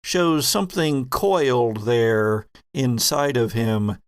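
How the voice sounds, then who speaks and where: A man narrates as the host and game master of a tabletop game. He speaks slowly and deliberately, drawing out suspense with pauses. His pitch sits in the middle, and the sound is dry and close. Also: no room sound.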